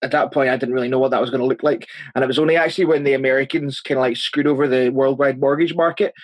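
Fast-talking Northern Irish man. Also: medium pitch, steady tone, no room sound. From Northern Ireland. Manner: earnest, chatty, frequent restarts and fillers. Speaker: church planter. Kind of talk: interview answers, casual.

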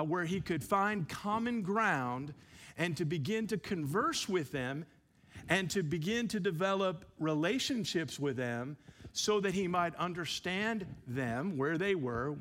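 A man who is a pastor, giving an evening church teaching with a relaxed, self-deprecating manner, many fillers. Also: a little high. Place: church sanctuary. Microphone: handheld microphone.

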